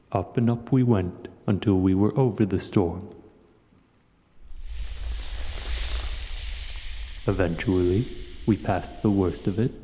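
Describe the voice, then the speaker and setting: A huge, proud dragon, played by a man, speaks very low and dark in a long, cavernous reverb. He is measured and formal, a haughty, learned hoarder addressing his guest in a fantasy audio roleplay. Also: slight background noise. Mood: reminiscing. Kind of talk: audio roleplay, scripted monologue to the listener.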